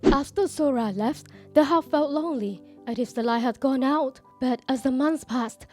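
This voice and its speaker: A woman voicing a gentle, wistful grandmother in her sixties, narrating a manga dub. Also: high-pitched, normal speed. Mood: calm, wistful. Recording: background music under the voice.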